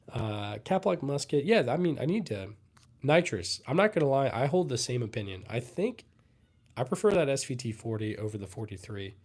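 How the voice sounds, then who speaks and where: A young man who is sick and losing his voice, casually chatting and answering viewers' questions on a livestream. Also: medium pitch. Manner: relaxed, rambling, answers chat comments. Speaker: livestream host. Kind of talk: casual live Q&A chat.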